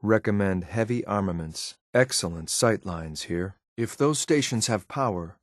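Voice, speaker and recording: A man voicing a stoic, dutiful synth agent for a video game, speaking in a clipped, measured, formal deadpan. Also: lowish voice, no room sound, studio quality. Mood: detached, observant. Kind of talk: scripted video game companion lines.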